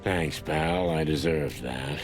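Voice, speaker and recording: Deep world-weary old rooster detective. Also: male voice, slow, exaggerated voice. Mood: weary, dry. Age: older. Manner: dry, brooding, hardboiled. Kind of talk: film noir game voice acting. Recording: music behind the voice.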